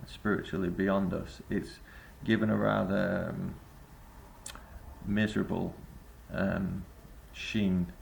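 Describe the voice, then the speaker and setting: A man's voice: low-pitched, creaky, a little hiss or hum. A man giving a reflective spoken essay to camera as a vlogger, rambling and hesitant with many filler sounds. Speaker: vlogger and busking singer.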